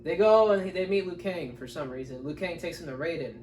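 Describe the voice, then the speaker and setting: A man's voice: fairly high pitch, animated, fairly quick, a crowd in the background. A man chatting casually and unscripted in a film review, rambling off track and swearing in exasperation. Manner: profane, exasperated, digressive. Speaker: co-host of a film review show. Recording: echoey room, distant mic, faint noise.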